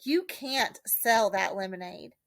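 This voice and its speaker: A woman's voice: medium pitch, measured pace, creaky, a bit bright, no room sound. A woman voicing a polite but insistent busybody mother in a storybook, sugary, firm and meddling. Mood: sweetly firm.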